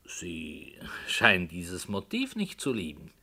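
A brooding radio-play author. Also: male voice, low voice, wildly swooping pitch, breathy edge, exaggerated voice. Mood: musing. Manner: slow, hushed, sometimes whispering. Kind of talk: 1960s radio play acting.

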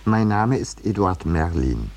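A self-important, middle-aged landscape painter in a 1950s radio drama. The voice is very deep, mellow and husky. He recounts his past in with measured, pompous irony. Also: very animated, full character voice. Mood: self-important, wry. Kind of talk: 1950s radio drama first-person narration.

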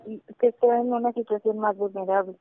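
A woman's voice: mid-range voice, fairly even intonation, fairly quick. A Mexican woman answering as a phone agent, reserved and tentative, with brief acknowledgements and short replies. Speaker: human rights commission phone agent. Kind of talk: phone call responses. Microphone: telephone. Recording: narrow, dry telephone line.

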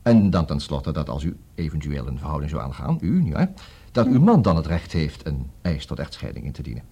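Fussy lawyer, wildly swooping pitch. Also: male voice, sing-song, a little fast, warm tone, husky, exaggerated voice. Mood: businesslike. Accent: Netherlands Dutch. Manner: formal, fussy, hesitant interjections and repeated words. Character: busy male divorce lawyer, brisk, wry, smooth-talking. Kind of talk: 1960s radio comedy acting.

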